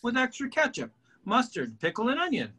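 Goofy prankster regular, high and silly, read by a man on a call. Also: huge pitch swings, fairly quick, bright, forceful tone, slightly rough, exaggerated voice. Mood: teasing. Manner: silly, sing-song teasing. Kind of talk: amateur table read over video call.

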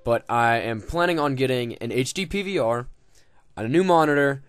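A man's voice: mid-range voice, animated, moderate pace, smooth, no echo. A young man casually commenting on his game, rambling and joking with lots of swearing and fillers. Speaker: gaming video host.

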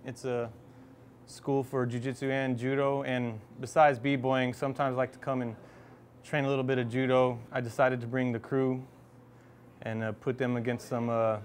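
A man's voice: medium pitch, flat delivery, moderate pace. A young man chatting casually as he presents a visit for a video blog. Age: young adult. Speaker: dance crew member presenting a gym visit. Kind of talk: casual vlog chat. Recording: loud music over the voice.

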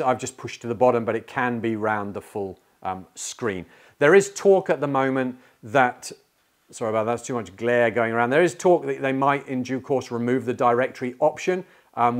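A man in his forties presenting a tech product informally to camera, explaining as he goes with hesitant pauses, on a lapel mic in a small studio room. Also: mid-range voice.

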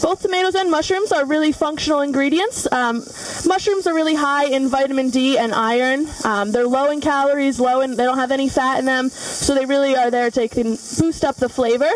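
Young woman, high voice, cooking demo, explains with ums, distant from mic, large studio kitchen. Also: a little fast. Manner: explains while cooking, frequent fillers. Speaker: culinary student demonstrator. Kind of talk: cooking demonstration. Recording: voice from far off the mic.

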